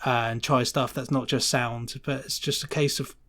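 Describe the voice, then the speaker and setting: A man's voice: medium pitch, flat delivery, moderate pace, fairly bright tone. A man chatting casually and enthusiastically as an interview guest, rambling through his answers in a loose, conversational way. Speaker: podcast guest, sound designer.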